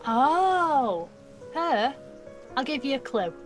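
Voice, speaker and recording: A woman's voice: high voice, sing-song, takes it slowly, soft background music, heavily acted. A woman playing a cheeky, mouthy nineteen-year-old apprentice in a naturalistic audio drama, flippant and slangy. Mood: cheeky, sarcastic. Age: young adult.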